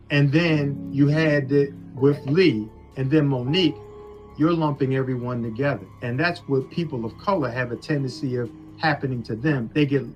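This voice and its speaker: A man arguing on a phone call, insistent and lecturing as he lays out his point. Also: mid-range voice. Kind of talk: argumentative phone conversation. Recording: leaked phone call with music underneath.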